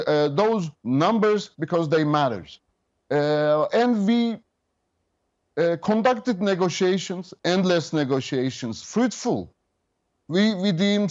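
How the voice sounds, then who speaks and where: A man in his fifties, a government representative speaking over a remote link, measured and official with frequent hesitations. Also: high voice, lo-fi sound. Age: 50s. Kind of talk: remote interview guest.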